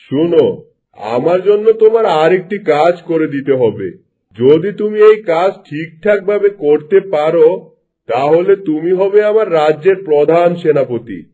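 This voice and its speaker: Man playing a mellow-voiced, scheming cartoon king. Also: fairly high pitch. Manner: imperious, commanding. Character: vain, scheming, greedy king. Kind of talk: Bengali cartoon dubbing.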